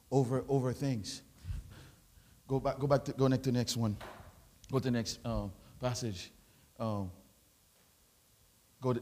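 Haitian man preaching in a reverberant hall. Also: medium pitch. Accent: Haitian French. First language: French. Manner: preaching with rising, shouted exhortations. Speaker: pastor. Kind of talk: sermon.

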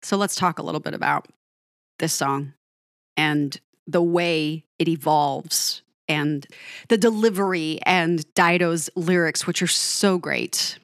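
A woman's voice: low voice, slow pace, no room sound, studio quality. A woman giving an enthusiastic, chatty breakdown of a song to her viewers, laughing often. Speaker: music reaction commentator.

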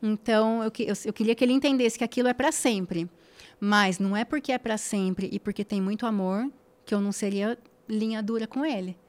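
A woman's voice: medium pitch, fairly quick, a little mellow. A woman, a podcast guest talking casually and emphatically, repeating phrases for stress and checking in with tag questions. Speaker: guest influencer.

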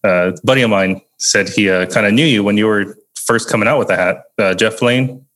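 A man's voice: lowish voice, brisk, fairly bright tone. A man co-hosting a podcast in a casual, conversational style. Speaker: podcast co-host.

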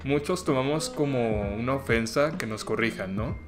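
A man's voice: mid-range voice, normal speed. A young man from Mexico, hosting a podcast in a casual, joking way. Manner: chatty and joking, acts out quoted voices. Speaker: podcast host. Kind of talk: casual solo podcast talk. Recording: clear music playing under the voice.

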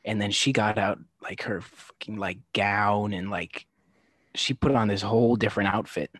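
Brief: young male co-host, lowish easygoing voice, rambling casual storyteller, dry close recording. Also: fairly even intonation, normal speed, a bit bright, no room sound. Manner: laid-back, many "like" fillers, low-key delivery. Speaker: podcast co-host.

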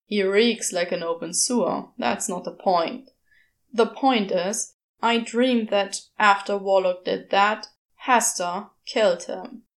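A woman's voice: medium pitch, slow, voice pushed down. A woman voicing a brusque, moody male demon in a storybook reading, terse and grumbling. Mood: grim, uneasy.

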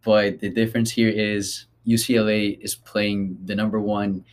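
A man hosting a casual sports talk, excited about the games and turning questions to the others. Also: fairly low pitch, normal speed. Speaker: host.

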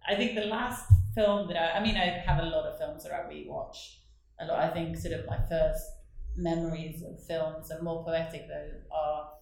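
A woman's voice: low-pitched, steady tone, moderate pace, creaky, a little hiss or hum. Echoey Norwegian woman, rambling and laughing. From northern Norway. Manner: rambling, self-correcting, laughs often. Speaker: artist guest. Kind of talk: casual interview chat.

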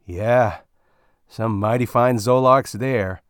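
A man's voice: mid-range voice, takes it slowly, smooth, no echo, clean studio sound, close to an everyday voice. A man voicing a crude, talkative male space miner with a folksy, ungrammatical drawl. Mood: griping.

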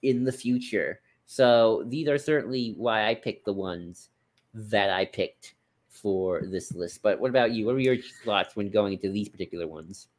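A man's voice: on the low side, moderate pace. A man co-hosting a podcast in casual chat, informal and stop-start, often hedging and slipping into asides. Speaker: podcast co-host. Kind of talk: casual chat, discussing book picks.

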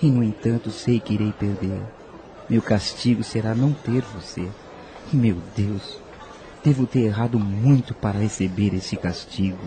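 A man's voice: lowish voice, normal speed, warm tone, slight background noise, lightly acted, low-quality recording. A man with a Brazilian accent playing a guilt-ridden, tender and troubled man in a radio soap. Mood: longing, anguished. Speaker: radio drama actor.

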